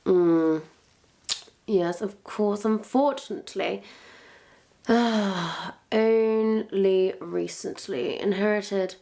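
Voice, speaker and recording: A woman's voice: medium pitch, extremely slow, gravelly, warm tone. A woman playing a wicked, theatrical witch in a suspenseful roleplay, pompous and florid, savoring each phrase as she toys with a captive. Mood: sly, gossiping. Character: wicked, theatrical female witch, smugly toying with her captive. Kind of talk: suspenseful point-of-view roleplay monologue.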